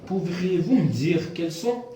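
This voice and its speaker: A man's voice: high voice, expressive, a little slow, dark tone, a little hiss or hum. A man interviewing someone in a formal, polite way, often stopping to hesitate and correct himself. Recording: echoey room.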